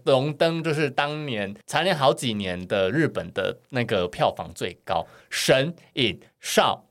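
A man's voice: medium pitch, lively intonation, a little slow, fairly bright tone. A man with a Taiwanese Mandarin accent, a podcast guest chatting casually in a teasing, joking way. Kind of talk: casual comedic chat.

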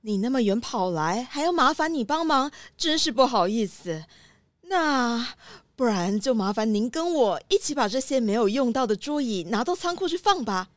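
A woman's voice: fairly high pitch, animated, moderate pace, no room sound, natural voice. A woman voicing a friendly, naive, easily flustered small-town mayor in a children's audio drama, courteous and full of exclamations. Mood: courteous, worried.

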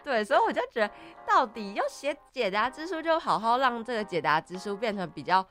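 Lively-pitched woman co-hosting a podcast. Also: a little fast, faint music behind. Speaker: podcast co-host. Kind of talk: casual scripted-topic chat.

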